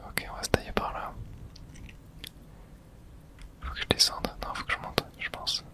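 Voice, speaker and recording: A person whispering casual, hushed ASMR commentary while playing a video game, breathy and thinking aloud. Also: normal speed.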